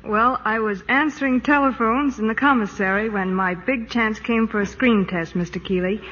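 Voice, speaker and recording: A woman's voice: a little high, normal speed, a bit bright, a little hiss or hum. A young woman speaking as a guest starlet in a scripted 1940s radio interview. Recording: dull vintage 1940s radio broadcast.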